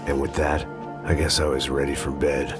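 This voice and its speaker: An actor playing a weary, cynical middle-aged bodyguard, narrating a game in a deadpan, hardboiled way. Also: male voice, rumbling low, moderate pace. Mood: weary, bitter. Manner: deadpan, sardonic, world-weary. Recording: loud music behind the voice.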